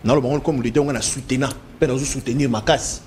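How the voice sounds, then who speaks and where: A man's voice: steady tone, fast, a little mellow, a little hiss or hum. A middle-aged man with a Congolese French accent, debating emphatically in a TV studio at a desk mic, mixing French and Lingala. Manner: emphatic, gesturing, swinging pitch, switching between French and Lingala. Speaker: political debate guest. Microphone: desk microphone.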